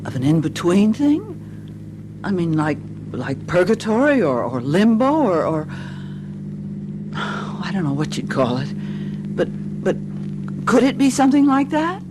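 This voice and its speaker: An actress playing a troubled, fearful wife in a radio mystery drama, hesitant and searching for words. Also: female voice, medium pitch, sing-song, takes it slowly, long pauses. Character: troubled wife fearing she and her husband are dead. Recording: radio drama with car noise behind.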